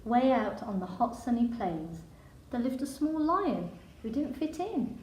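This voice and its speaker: Slow, high-voiced woman reading a storybook. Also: sing-song, a little mellow, a little hiss or hum. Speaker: storybook reader. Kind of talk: expressive children's picture book narration in verse. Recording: echoey room.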